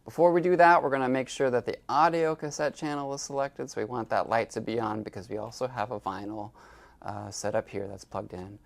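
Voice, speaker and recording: A man's voice: medium pitch, fairly even intonation, a little fast, creaky. A man giving a casual, step-by-step equipment tutorial as an instructor, with informal, folksy phrasing. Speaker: instructor demonstrating library equipment.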